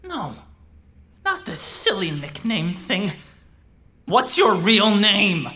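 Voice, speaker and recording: A woman's voice: medium pitch, very animated, takes it slowly, pushed and bright, full character voice. A woman playing an intense young robot who was born human, pleading and menacing, with an emphatic, pressing way of talking in an audio drama. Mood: probing. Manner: emphatic, repetitive, emotionally pressing.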